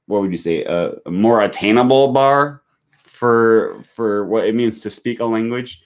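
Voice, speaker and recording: American man, fairly low voice, casual live-stream chat, lots of ums and restarts. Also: measured pace. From United States. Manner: thinking aloud with many fillers and restarts. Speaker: language-learning YouTuber hosting a live stream. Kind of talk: casual live-stream talk, reading viewer comments.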